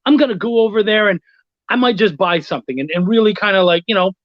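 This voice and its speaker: Dull lo-fi dry sound, man, casual guest, animated storyteller, sweary. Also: high-pitched, fast talker, dry recording. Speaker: guest, freelance writer and editor. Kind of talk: casual anecdotal chat.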